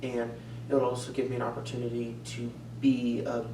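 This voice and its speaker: A young man answering questions in a mock interview, earnest and steady, with occasional restarts. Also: mid-range voice, fairly quick. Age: young adult. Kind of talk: prepared answers in a practice interview. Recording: echoey room, far from mic, humming noise.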